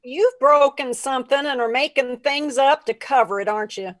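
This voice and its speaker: A woman's voice: high voice, moderate pace, natural voice. An actress playing a boy's vacant, scolding mother in a theatrical, reproachful way.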